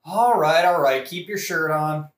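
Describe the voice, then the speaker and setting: Male actor as sardonic young sidekick: high voice, irritable, casual, audio-play comedy, roomy. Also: a little slow, very noisy, natural voice. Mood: irritated. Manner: irritable, offhand, wry. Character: grumpy sarcastic young man, prince's best friend Horatio. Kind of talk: modern-language satirical audio play acting. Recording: roomy echo.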